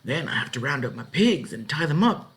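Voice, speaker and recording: A man's voice: a little high, sing-song, brisk, a bit bright, voice pushed up. A man voicing an easygoing middle-aged farmer and father in a storybook, with hesitant, rustic talk.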